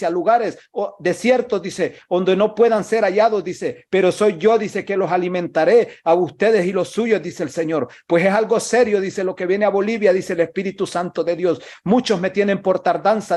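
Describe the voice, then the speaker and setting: A man's voice: high-pitched, a little mellow. A man preaching a prophecy, declaiming in short phrases and repeating a refrain after nearly every line.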